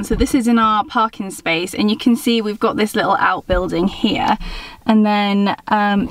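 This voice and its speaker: An English woman in her thirties talks casually to her viewers in an enthusiastic, gushing way. Her voice sits at an average pitch. The recording is echoey, with some noise behind her. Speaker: vlogger. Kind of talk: casual vlog tour, chatty. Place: home room, car, brick barn and yard. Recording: echoey rooms, noticeable background noise.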